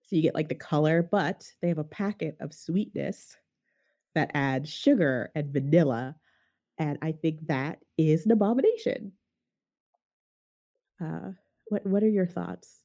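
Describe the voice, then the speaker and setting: A woman's voice: low voice, lively intonation, a little slow, long pauses, dark tone. A woman hosting a podcast in casual chat, sounding nasal and stuffed up from a sinus infection, wry and rambling and laughing often. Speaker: podcast host.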